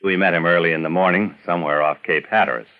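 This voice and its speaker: Deep-voiced older male radio narrator. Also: fairly even intonation, fast, narrow, tinny audio. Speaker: documentary narrator. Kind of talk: scripted narration with reminiscence.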